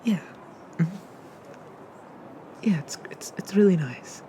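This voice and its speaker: A performer playing a friendly, bashful monster barista in a soft, hesitant, gently chatty whispered ASMR roleplay. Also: medium pitch, lively intonation, slow pace, background noise. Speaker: coffee shop barista.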